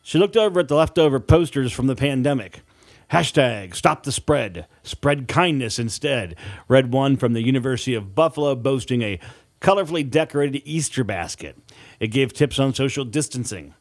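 A man's voice: medium pitch, animated, a little fast, a little mellow. A man reading a scripted audiobook story as its author and narrator.

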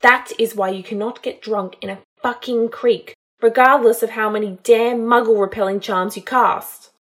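A woman's voice: mid-range voice, moderate pace, lightly acted. Woman acting a cold, disdainful young wizard man. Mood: irritated, snarling. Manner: snarling, taunting, curt. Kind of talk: dramatised audiobook narration.

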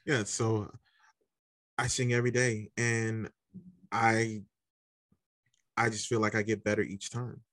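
Laid-back man with a deep voice. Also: no echo, clean studio sound. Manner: relaxed, laughs easily, halting restarts. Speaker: podcast host. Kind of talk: casual conversation.

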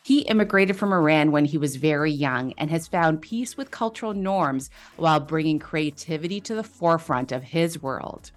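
A woman's voice: low voice, lively intonation, normal speed, few pauses. A woman hosting a podcast, reading a scripted introduction and then asking interview questions in an upbeat way. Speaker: podcast host.

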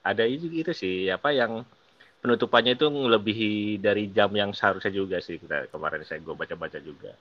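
A man, co-hosting a podcast in casual chat, quick to agree and tease. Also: on the low side. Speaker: podcast co-host. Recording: dull lo-fi sound.

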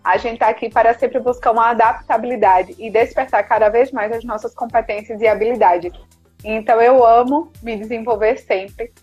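A woman's voice: a little high, fairly even intonation, moderate pace, darkish tone, husky, highs cut off, faint music behind. A woman from Recife with a mixed northeastern Brazilian accent, speaking as a podcast guest in an earnest, motivational way over a video call. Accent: mixed northeastern Brazilian Portuguese. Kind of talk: casual interview talk.

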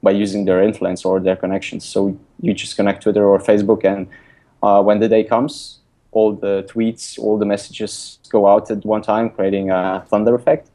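A young man from Lithuania, speaking English as a second language, answers questions at a hesitant pace, full of fillers and restarts. His voice sits low with a flat, even pitch and a dark, mellow tone. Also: normal speed. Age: young adult. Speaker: guest, app designer and author. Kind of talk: casual interview talk. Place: recording remotely from abroad.

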